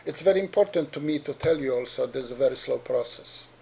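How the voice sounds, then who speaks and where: A man's voice: medium pitch, fairly quick, vocal fry, warm tone, slight background noise. A middle-aged man from Poland with a heavy Polish accent, a doctor giving an earnest explanatory talk to parents, often repeating and restarting words.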